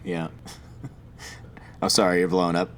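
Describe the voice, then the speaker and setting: A man's voice: sits low, completely flat delivery, slow pace, fairly bright tone, slight background noise. A man co-hosting a podcast in casual chat, teasing his partner and laughing a lot. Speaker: podcast co-host.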